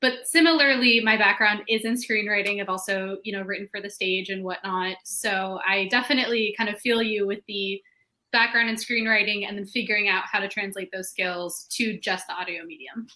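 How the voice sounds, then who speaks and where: Female writer-panelist. Bright, crisp-edged tone at average pitch, relaxed conversational phrasing. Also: a little fast, rough recording. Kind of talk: casual panel talk.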